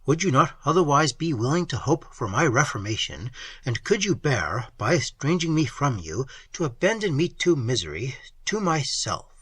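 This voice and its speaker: A man's voice: medium pitch, big pitch swings, moderate pace, a bit bright, husky, dry recording. A man reading an audiobook voices a desperate young man who is ruined and pleads wildly with the woman he loves. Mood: despairing. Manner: impassioned, wild, pleading. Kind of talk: audiobook reading of a classic novel.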